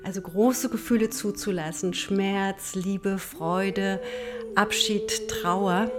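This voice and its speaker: A woman's voice: medium pitch, fairly even intonation, slow, over loud music. A woman speaking thoughtfully in an interview, often listing ideas word by word. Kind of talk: reflective interview.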